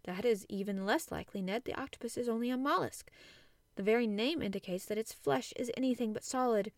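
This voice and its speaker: A woman's voice: on the high side, brisk, dry recording, clean studio sound, natural voice. A woman voicing a learned male naturalist professor in a storybook reading, explaining patiently and earnestly. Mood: lecturing.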